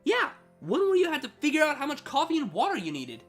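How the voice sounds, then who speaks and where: A woman's voice: high-pitched, wildly swooping pitch, fast, faint music behind. A woman voicing a haughty, irritable, sarcastic siren in a storybook reading, with a biting, exasperated manner. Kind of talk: storybook fan fiction reading.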